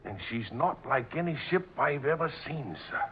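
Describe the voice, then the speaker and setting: An actor playing an earnest, excitable sailor, respectful and hesitant with folksy seaman's talk, in an old-time radio drama. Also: on the high side, moderate pace, breathy edge. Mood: nervous, deferential. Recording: dull old radio recording with hiss.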